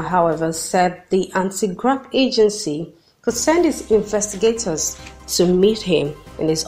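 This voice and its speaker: A woman with a Nigerian accent reading the news in a measured, formal way as the show's newsreader. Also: lowish voice, darkish tone.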